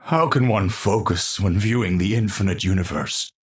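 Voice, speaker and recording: An actor playing an eccentric, troubled genius physicist in a video game, a breathy voice and formal, precise, theatrical speech. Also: male voice, fairly low pitch, moderate pace. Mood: musing, unsettled. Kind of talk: video game character voice lines.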